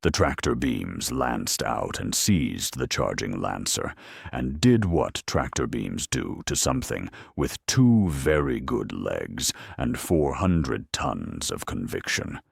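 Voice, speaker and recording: A man narrating a scripted story dramatically, in a deliberate, grimly wry manner. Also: extremely low pitch, big pitch swings, vocal fry, slightly rough, dry recording, studio quality.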